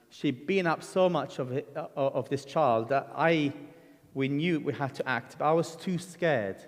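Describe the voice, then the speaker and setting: A middle-aged man from Lebanon preaching a sermon, telling his own story in an earnest, conversational way with frequent ums and restarts. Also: a little high. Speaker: guest preacher. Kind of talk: sermon with personal testimony. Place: church hall. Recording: church hall reverb.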